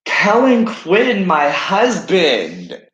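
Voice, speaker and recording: A man's voice: high-pitched, huge pitch swings, drawn-out words, a bit bright, highs cut off. A nineteen-year-old man rambling through a casual reaction vlog at home, musing and questioning himself as he talks. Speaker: vlogger.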